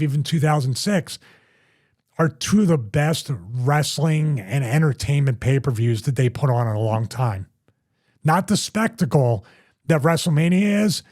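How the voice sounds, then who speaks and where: A man's voice: a little high, a little mellow. A middle-aged man hosting a casual podcast, telling long rambling stories with restarts and repeats. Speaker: host, former wrestler.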